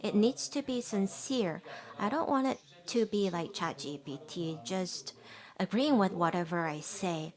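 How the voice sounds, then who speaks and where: Woman, live interpreter, mid pitch, steady measured delivery, quick restarts.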